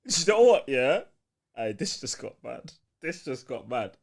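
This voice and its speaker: A man's voice: mid-range voice, very animated, a little slow, vocal fry. A man with a London accent co-hosting a casual live podcast, bantering in slang and reading out viewer messages. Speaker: podcast co-host reading out super chats.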